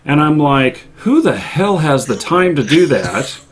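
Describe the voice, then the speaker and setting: A man hosting a gaming livestream, chatting casually in a halting, hesitant way. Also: a little high, sing-song. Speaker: livestream show host.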